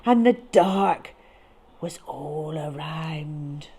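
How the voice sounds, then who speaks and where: A woman reading a picture book to young children in a dramatic, expressive way with deliberate phrasing. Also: low-pitched, slightly rough. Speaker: children's storyteller.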